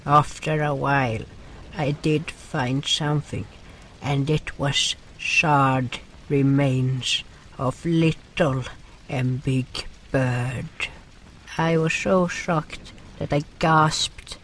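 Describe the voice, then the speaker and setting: A man playing a gentle nonhuman swamp creature who narrates a fantasy audio drama haltingly, in broken grammar with dropped articles. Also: medium pitch, steady tone, slight background noise, poor audio. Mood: solemn storytelling. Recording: music behind the voice.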